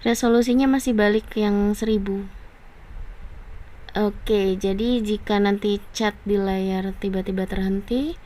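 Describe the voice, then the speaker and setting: A woman with an Indonesian accent chatting casually and playfully on a game livestream, giggly and quick to react. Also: mid-range voice, steady tone, mellow.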